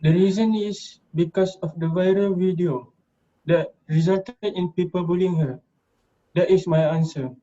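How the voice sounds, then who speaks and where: Timid male student played by a man, frightened, high mellow voice, dull amateur drama recording. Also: measured pace. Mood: nervous, pleading.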